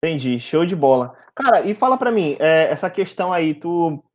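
Male podcast host, Brazilian Portuguese accent, high voice, informal and chatty. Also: animated, moderate pace, dull audio. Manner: informal, chatty, frequent tag questions. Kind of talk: casual conversational podcast hosting.

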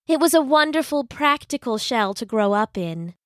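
A practical, kindly female crab from a children's story, acted by a woman. The voice is fairly high, with an encouraging and earnest delivery. The recording is dry. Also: animated, normal speed, dry recording. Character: practical, kind, reassuring female hermit crab.

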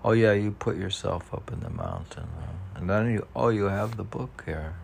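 A man talking casually and haltingly, musing and muttering to himself as he reads aloud. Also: lowish voice, very animated, creaky, a little hiss or hum. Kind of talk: casual rambling talk while reading poems aloud.

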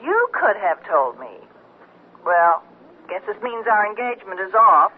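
An actress playing a pushy, sardonic jilted ex-girlfriend in an old-time radio comedy, brisk in manner. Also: mid-range voice, big pitch swings, slight background noise. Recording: tinny phone-like vintage radio sound.

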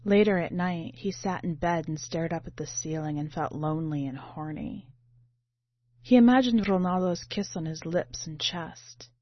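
A woman's voice: lowish voice, normal speed. A woman narrating a literary short story as a podcast reader. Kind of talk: literary audiobook-style narration. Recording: muffled, roomy home recording.

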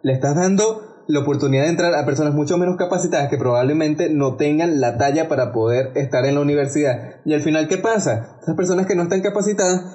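A young Venezuelan man with a Venezuelan Spanish accent argues animatedly on a casual podcast, often tripping over his words. His voice sits a bit high for a man. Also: fast talker, few pauses, warm tone. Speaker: podcast co-host.

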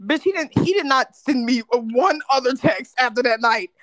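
A woman's voice: high-pitched, lively intonation, normal speed, a bit bright, slight background noise, rough recording. A woman from North Carolina telling a candid story in a casual chat with friends, laughing often. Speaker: podcast host.

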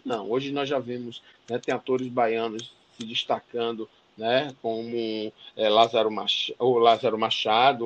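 A man's voice: mid-range voice, slight background noise. A man with a Bahian Brazilian accent answers interview questions with fervor, his voice rising on the points he stresses. He keeps tagging his sentences with little questions and stumbles into restarts as his thoughts run ahead. The audio sounds a touch muffled. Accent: Bahian Brazilian Portuguese. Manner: passionate, emphatic, frequent "né" tags and restarts. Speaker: interview guest. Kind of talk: conversational interview.

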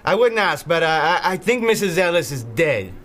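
An actor playing a grouchy, nervous recluse in an audio drama, irritable and prone to stammering. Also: male voice, a little high, sing-song, normal speed, over music. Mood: nervous pleading. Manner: irritable, stammering when anxious. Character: grouchy reclusive man, nervous and pleading under pressure.

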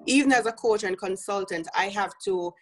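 A woman's voice: mid-range voice, fairly quick. A woman from Jamaica with a Jamaican accent, a business coach speaking as an interview guest in an earnest way, with easy laughs and many fillers.

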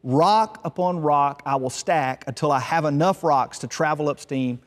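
A stubborn, toiling brother full of guilt voiced by a male storyteller in a reverberant hall. He is earnest and emphatic, blaming himself in a somewhat high voice. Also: normal speed, natural voice. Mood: determined, remorseful. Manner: earnest, emphatic, self-reproaching.

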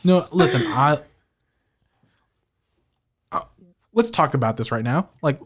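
A man co-hosting a podcast, chatting casually about a movie, animated and often restarting his sentences with a laugh. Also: a little high, slow pace, husky. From Filipino heritage, living in the United States. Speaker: podcast co-host. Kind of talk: casual movie review chat.

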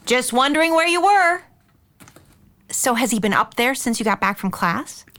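Woman acting bright-voiced, worried, weary mother. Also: a little high, expressive, normal speed. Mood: concerned. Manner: earnest, exasperated. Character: worried, weary mother and aunt, caring but firm. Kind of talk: audio drama acting.

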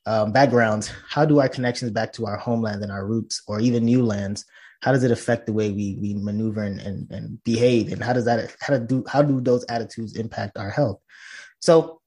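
A man's voice: lowish voice, fairly even intonation, moderate pace, a little mellow, slightly rough. A man from Nigeria, a professor guest in a conversational interview, explaining earnestly with many fillers and restarts.

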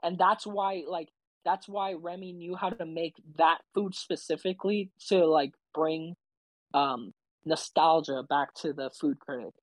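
A young woman co-hosting a podcast, rambling casually in quick bursts full of fillers. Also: low voice, dry recording, low-quality recording. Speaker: podcast co-host. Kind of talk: casual chat.

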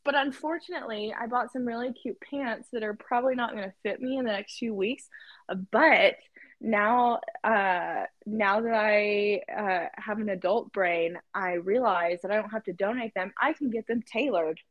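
A woman's voice: mid-range voice, normal speed, no room sound, muffled recording. A young adult woman in casual chat, telling rambling stories and laughing along the way. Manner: chatty, laughing, rambling storyteller.

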